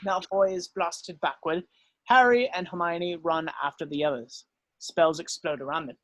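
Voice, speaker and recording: A man narrates stage directions from a script. His voice sits a little high for a man, and the sound is dry and free of room echo. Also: moderate pace, fairly bright tone.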